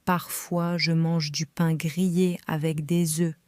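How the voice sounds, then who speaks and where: Female reader for beginner lessons, calm and careful, flat even delivery, dry close sound. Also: sits low, slow pace, dry recording. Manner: calm, careful, clearly spaced phrases. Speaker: French reader for learners. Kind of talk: slow scripted reading for beginners.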